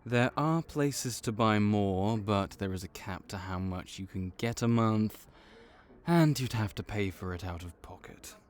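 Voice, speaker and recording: A man's voice: on the low side, unhurried, natural voice. A man voicing a male vampire intake officer in an audio roleplay, matter-of-fact and wry as he walks through the paperwork.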